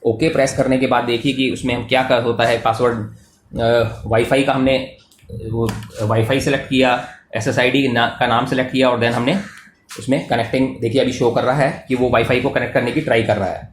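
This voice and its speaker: An Indian man giving an unscripted step-by-step product demonstration as a tutorial presenter, repeating himself and mixing in English terms in a halting way. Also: mid-range voice, flat delivery. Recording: echoey room, faint background noise.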